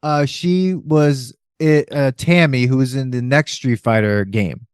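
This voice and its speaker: A man co-hosting a comedy podcast, chatting excitedly about an old film and trailing off mid-thought. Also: medium pitch, measured pace, clean tone, no echo, studio quality. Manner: excited, rambling, trails off and restarts. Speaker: podcast co-host. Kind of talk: casual comedic movie chat.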